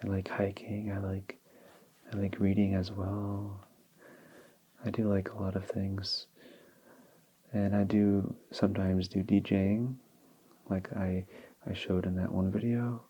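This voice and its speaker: A young man whose first language is Polish, speaking softly and slowly as an ASMR creator answering viewer questions. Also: low voice, husky, muffled recording. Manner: slow and relaxed, with small restarts. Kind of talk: soft-spoken ASMR Q&A. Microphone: handheld field recorder.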